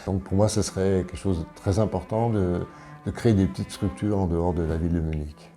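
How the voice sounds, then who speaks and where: A man of sixty-two from Paris, presenting himself as an election candidate in an interview, speaking in a halting, deliberate way. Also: low voice, steady tone, warm tone, faint music behind. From Paris, France. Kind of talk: interview answers, self-presentation.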